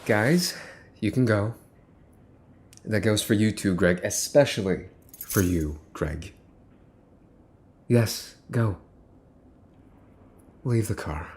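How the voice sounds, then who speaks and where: Man playing a smug, slow-talking young mafia heir. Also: lowish voice, a little mellow. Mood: low, menacing. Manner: smug, taunting, drawn-out phrasing with breathy whispers. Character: possessive, teasing young mafia boss's son holding a captive. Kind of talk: ASMR boyfriend roleplay acting.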